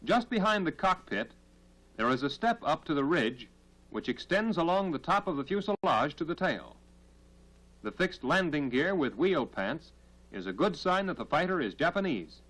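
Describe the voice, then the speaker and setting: American man, 1940s training film narration, highish voice, very varied intonation, formal, measured, instructional, vintage film soundtrack. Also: big pitch swings, a bit bright, low-quality recording.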